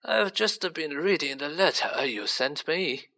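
A feeble, kindly old wizard headmaster from a storybook. The voice sits high and wavers, taking its time with slow, sighing phrases. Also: male voice, animated, bright, forceful tone, heavily acted.

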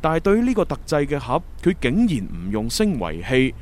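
An actor playing an earnest, analytical young time agent in a Cantonese sci-fi radio drama, with a Hong Kong accent. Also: male voice, mid-range voice, wildly swooping pitch, fairly quick. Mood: thoughtful, animated.

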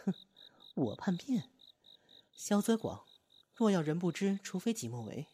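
A woman playing a cool, scornful undercover informant in a radio drama, with a husky, mellow voice. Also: on the low side, big pitch swings, unhurried, a little hiss or hum. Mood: defiant, scornful. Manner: scornful, firm. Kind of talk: Chinese radio drama acting.

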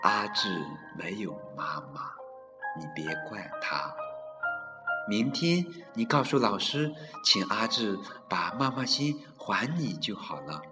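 Man playing a gentle mom, quiet, soothing, slow, music behind. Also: fairly low pitch, very animated. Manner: hushed and soothing. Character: gentle, soft-spoken mother. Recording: reverberant with background music.

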